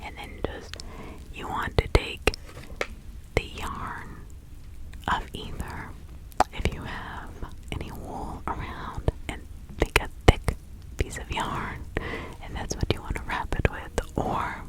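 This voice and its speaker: A woman playing a helpful nature witch in a whispered ASMR roleplay, giving instructions in a breathy, halting, conversational way. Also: lightly acted, rough recording. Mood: patient, instructive. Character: practical, helpful nature witch advising a client in 1656.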